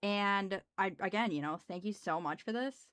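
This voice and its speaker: Young Midwestern woman streamer, gruff voice, mid pitch, dry close sound, excitable and chatty. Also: normal speed, no room sound. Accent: Midwestern American. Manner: bubbly, thanking viewers, laughs often. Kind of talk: casual live stream chat. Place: small home office.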